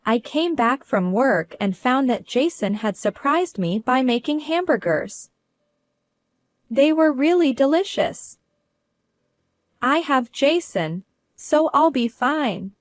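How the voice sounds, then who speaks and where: A woman voicing a cheerful, reassuring young college daughter with a bright, girlish lift. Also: fairly high pitch, takes it slowly, long pauses, dry recording, voice pushed up. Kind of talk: scripted narration.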